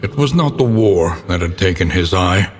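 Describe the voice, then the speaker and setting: A man playing a grim, solemn narrator of dark gothic game tales, speaking in theatrical, ornate phrasing. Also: deep voice, expressive, moderate pace. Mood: grim, ominous. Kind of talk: dramatic scripted gothic narration. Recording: long reverberant tail, clear background noise.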